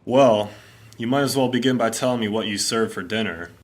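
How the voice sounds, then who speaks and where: A man playing a brisk, authoritative homicide sergeant with clipped, matter-of-fact delivery in a 1950s-style radio mystery. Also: fairly low pitch, lightly acted. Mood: probing, matter-of-fact.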